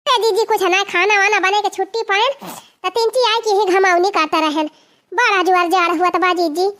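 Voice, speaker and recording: Very high, bright Awadhi village gossip. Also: female voice. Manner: complaining, gossipy, rustic. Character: feisty, chatty village sister-in-law eager for a pilgrimage. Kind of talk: cartoon comedy dialogue in rustic dialect.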